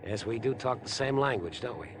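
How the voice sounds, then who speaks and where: Casting: male actor as ingratiating, obsessive schemer, very lively intonation, vintage radio thriller style. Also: medium pitch, a little fast, lightly acted. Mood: pleased, insinuating. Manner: insinuating, overfriendly, wheedling. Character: chatty, ingratiating, obsessive murder-plotting man on a train. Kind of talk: 1950s radio drama acting. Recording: vintage 1950s radio broadcast.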